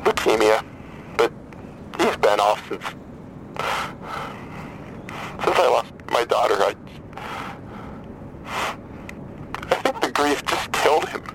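A man playing a grieving, despairing father calling a crisis line in an audio drama, halting, weary and bitter. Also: mid-range voice, lively intonation, fairly bright tone, slight background noise, rough recording. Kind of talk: naturalistic audio drama acting.

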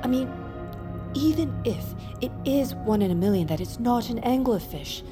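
An actress playing a calm, open-minded expedition doctor in an audio drama, reasoned and persuasive. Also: female voice, fairly high pitch, moderate pace, over music, natural voice. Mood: measured, persuasive.

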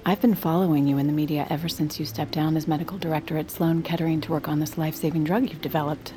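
Woman playing a low, mellow urbane psychiatrist. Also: steady tone, fast, natural voice. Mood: effusive, flattering. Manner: urbane, effusive, arch. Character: poised, flattering, gossipy female psychiatrist. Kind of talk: audio drama acting.

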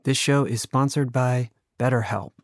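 A man's voice: medium pitch, fairly even intonation, normal speed, no room sound, clean studio sound. A man who created the show, reading a casual, personal sponsor message in a sincere, conversational way. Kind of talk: casual, personal podcast ad read.

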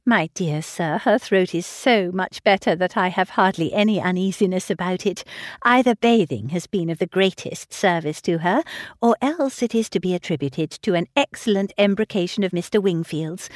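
A woman reading aloud voices a gentle, polite young mother talking with her father. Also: mid-range voice, expressive, normal speed, dry recording, clean studio sound, lightly acted. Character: gentle young mother, dutiful married daughter. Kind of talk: audiobook character reading.

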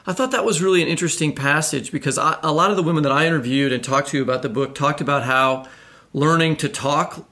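A man's voice: fairly high pitch, fast talker, bright, forceful tone. A man co-hosting a podcast, a novelist, asking long, conversational, winding questions. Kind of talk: podcast interview.

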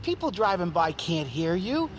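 A man's voice: high-pitched, sing-song, normal speed, a little hiss or hum. An actor playing a weary homeless man in an audio drama, grumbling and colloquial, a loyal buddy. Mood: pleading, annoyed. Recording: street ambience with passing vehicles.

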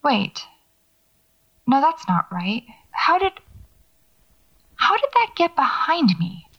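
A muffled, lo-fi tape carries the voice of a woman horror novelist alone at night. Her voice sits slightly high, with a heavy creak in it. She narrates her surroundings to herself in a wry, musing, naturalistic audio-fiction style. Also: big pitch swings, slow pace, a bit bright, heavily acted. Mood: uneasy, baffled. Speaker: audio drama actor. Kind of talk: naturalistic audio fiction monologue, spoken field notes. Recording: dull lo-fi cassette-style tape.

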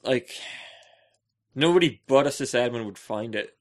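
A man's voice: mid-range voice, lively intonation, normal speed. A man co-hosting a podcast, chatting casually and joking with dramatic flights of fancy. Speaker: podcast co-host. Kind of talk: casual chat.

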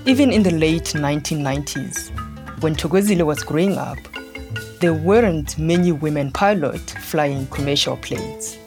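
A woman with a fairly low voice narrates a children's story over clear background music. Her delivery is scripted and measured, with deliberate pauses between phrases. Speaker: podcast narrator.

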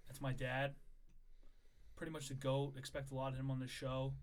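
A man's voice: medium pitch, monotone, normal speed. A young man hosting a casual podcast, brash and joking, swearing freely. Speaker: podcast host.

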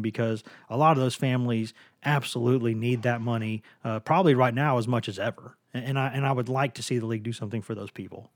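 A hoarse man hosting a sports podcast, talking casually and often restarting his sentences with repeated words. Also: medium pitch, darkish tone, dry recording, studio quality. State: hoarse from a lingering cough.

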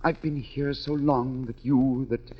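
An actor playing a shy, humble middle-aged violinist, hesitant and apologetic, in a 1940s radio drama. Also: male voice, medium pitch, steady tone, measured pace, close to an everyday voice. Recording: dull old 1940s radio broadcast, long reverb.

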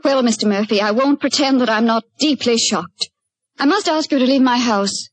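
A woman's voice: fairly high pitch, normal speed, no echo, close to an everyday voice. An actress playing a gracious but haughty aristocratic lady in 1940s radio style, poised, formal and emphatic. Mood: offended, formal.

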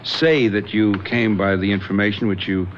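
An actor playing a skeptical police detective lieutenant questioning witnesses in a dry manner in a radio drama. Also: male voice, on the low side, steady tone, moderate pace, natural voice. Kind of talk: 1970s radio drama acting. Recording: dull lo-fi radio recording with hiss.

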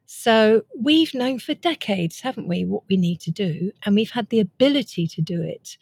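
A woman's voice: medium pitch, expressive, moderate pace, gravelly, a little mellow, breathy edge. A woman, speaking as an author being interviewed, explaining ideas in an earnest, articulate way. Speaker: author and guest.

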